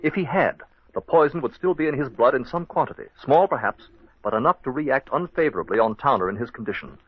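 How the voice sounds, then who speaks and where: A man playing an earnest, determined hospital doctor in an old radio mystery, with a grave, deliberate, theatrical delivery. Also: medium pitch, expressive, husky, no room sound. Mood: vindicated, explaining. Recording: dull lo-fi old radio recording.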